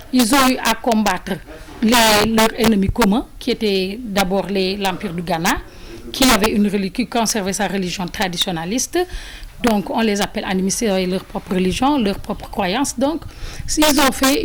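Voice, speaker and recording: A woman from Senegal explaining history in an interview, halting and thoughtful with many restarts. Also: medium pitch, a little hiss or hum.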